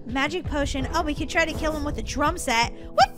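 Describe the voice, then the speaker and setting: A woman with an excitable, exaggerated kid-show delivery, doing playful role-play commentary as a gaming host. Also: sits high, fairly quick. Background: music behind the voice.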